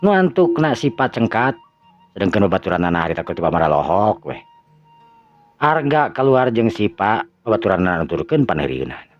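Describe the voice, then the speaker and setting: Deep-voiced Sundanese male storyteller. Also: very animated. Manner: slow drawn-out narration with stumbles. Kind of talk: Sundanese folk tale narration. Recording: dull lo-fi audio with faint music.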